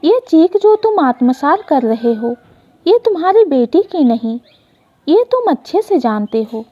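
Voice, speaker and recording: Woman voicing a slow, solemn elderly father. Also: high voice, sing-song, lo-fi sound. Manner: solemn, moralizing. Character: grave elderly father admonishing his guilty son.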